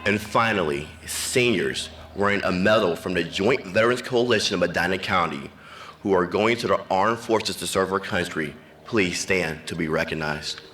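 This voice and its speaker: A deep-voiced man reads out graduation honors in a measured, formal manner at a podium mic. A faint hum of the crowd hall sits behind him. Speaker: school official announcing honors. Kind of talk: formal ceremony announcements. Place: large ceremony venue.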